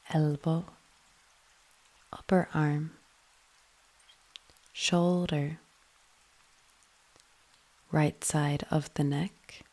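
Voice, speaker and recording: A woman leading a guided yoga nidra meditation in a soothing, hushed manner, with phrases often trailing into breath. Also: low voice, poor audio.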